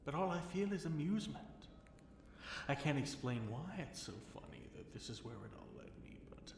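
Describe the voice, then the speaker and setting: A man playing a possessive, seductive fallen dragon king in an intimate ASMR fantasy roleplay, with teasing, commanding delivery and breathy whispered words. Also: on the high side, sing-song, a little fast. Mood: amused, concerned. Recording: roomy echo, faint background noise.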